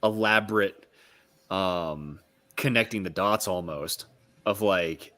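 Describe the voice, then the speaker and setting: A man co-hosting a book discussion, explaining his points in a casual, analytical and somewhat halting way. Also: low-pitched, sharp tone.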